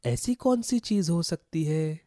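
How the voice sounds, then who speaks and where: A man's voice: fairly high pitch, animated, takes it slowly, dark tone, dry recording, studio quality. A man voicing a kind, brave boy in a children's story, speaking earnestly and with animation. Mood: alarmed. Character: kind, brave poor boy, eager to help. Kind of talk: Hindi children's moral story voice acting.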